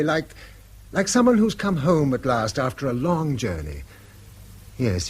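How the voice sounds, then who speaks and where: An actor playing a priggish, bitterly probing middle-aged English schoolmaster in a radio drama, with a husky voice. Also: male voice, fairly high pitch, slight background noise.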